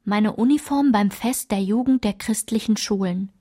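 An actress plays a reflective woman looking back on her girlhood in a radio play. Her voice sits at a middle pitch. She reads the literary text in a measured, descriptive manner. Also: warm tone, close to an everyday voice. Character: reflective woman recalling her girlhood through old photographs. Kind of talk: literary scripted narration.

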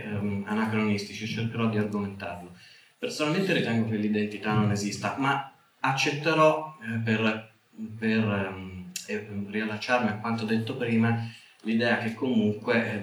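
A man's voice: on the low side, a bit bright. A man giving a formal, intellectual talk, hesitating as he searches for words. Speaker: conference speaker, writer and documentary maker. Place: conference room. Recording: echoey room, distant mic, faint noise.

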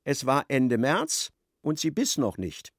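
A man narrating a radio play in an expressive, literary storytelling style. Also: mid-range voice, takes it slowly, no echo, clean studio sound.

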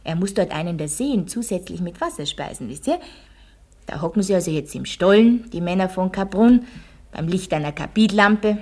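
A woman's voice: on the low side, lively intonation, moderate pace, darkish tone. A woman playing an Austrian village schoolteacher in a radio play, quizzing her pupils and telling them a heroic tale with didactic emphasis. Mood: brisk, quizzing. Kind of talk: radio drama, classroom storytelling.

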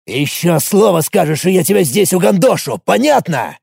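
A man's voice: sits high, big pitch swings, moderate pace, gravelly, a little mellow, slightly rough, no room sound, clean studio sound, voice pushed up, full character voice. A male audiobook reader voicing a crude, brutal thug leader who sneers and threatens. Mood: menacing. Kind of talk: audiobook character voice.

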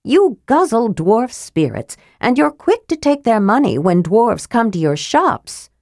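A woman reading the part of a stout, fearless middle-aged woman who speaks forcefully and demands answers. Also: on the high side, very animated, a little slow, no echo, clean studio sound, voice pushed up, exaggerated voice. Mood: scolding.

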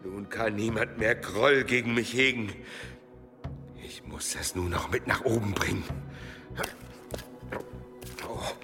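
An actor playing a frightened, pious medieval monk in a radio play, muttering breathless pleas and prayers. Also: male voice, lowish voice, slow pace, long pauses. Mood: awed, anxious. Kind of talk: German radio play acting. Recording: long stone-hall reverb, music bed.

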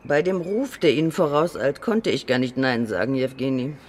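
An actor playing a polite, evasive Soviet forensic doctor in a radio crime drama, speaking in a deliberate, clinical way. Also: male voice, mid-range voice, lightly acted. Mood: courteous. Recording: reverberant morgue-like room with music.

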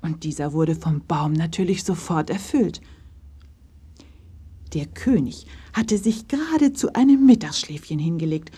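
A woman's voice: sits low, animated, unhurried, dark tone, husky. A woman narrating a scripted children's story.

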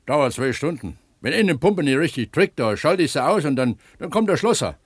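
An actor playing an eager, earnest pump attendant at an opencast mine in a naturalistic radio drama, explaining things like a tour guide. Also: male voice, fairly high pitch, sing-song, normal speed, breathy edge, no room sound, clean studio sound. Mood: explanatory.

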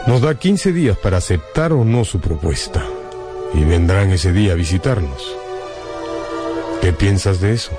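An actor playing a grave, middle-aged father giving solemn, measured counsel in a radio drama. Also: deep voice, animated. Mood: measured, serious. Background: prominent music.

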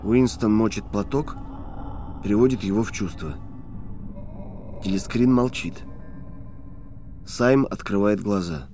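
Low-voiced male narrator over music. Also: takes it slowly, pauses often, warm tone. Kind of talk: dramatic scripted narration of a novel.

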